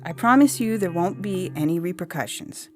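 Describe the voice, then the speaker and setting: A woman's voice: lowish voice, lively intonation, moderate pace, close to an everyday voice. Breezy, rambling woman acting a kidnapped Earth girl, over music. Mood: gently firm. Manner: breezy, rambling, emphatic, slangy. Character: chatty, blunt young woman abducted by aliens. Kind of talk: dramatic monologue reading of a comic story. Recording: music under the voice.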